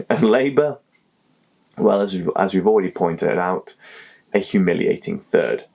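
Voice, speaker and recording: A man's voice: deep voice, animated, measured pace, vocal fry, darkish tone. A man hosting a political explainer video, talking emphatically with wry, jokey asides. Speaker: political commentary host.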